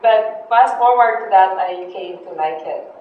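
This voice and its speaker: A woman's voice: mid-range voice, measured pace, slight background noise. A woman in her thirties from the Philippines, whose first language is Filipino, telling a personal story in a rambling way with many fillers, restarts and laughs. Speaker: military member giving a workshop talk. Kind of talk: personal anecdote speech. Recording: distant, dull, echoey room recording.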